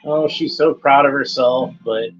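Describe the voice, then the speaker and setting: A man's voice: mid-range voice, steady tone, unhurried, lo-fi sound, soft background music. A man giving casual running commentary while watching an episode, chatty and fond, pointing out details as they come up.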